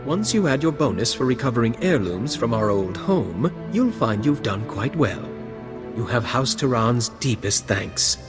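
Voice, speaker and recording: Smooth-talking noble gentleman, courtly, affected, expressive melodic delivery, music behind. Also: mid-range voice, measured pace. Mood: cool, courtly. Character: suave, flirtatious aristocratic man, smoothly condescending. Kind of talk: video game voice acting.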